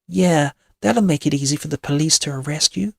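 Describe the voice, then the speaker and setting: Nervous sensible kid for a spooky story, woman performer, raised raspy voice, urgent and cautioning. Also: sits low, a little fast, a little mellow, no room sound, clean studio sound, voice pushed up. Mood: dry. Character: nervous, sensible young girl in cat costume.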